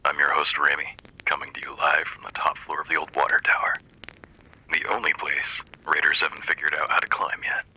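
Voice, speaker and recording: A man's voice: very deep voice, fairly even intonation, brisk, pushed and bright, a little hiss or hum, full character voice, low-quality recording. A man playing a friendly, wry post-apocalyptic radio host who speaks intimately to the listener in scripted DJ patter.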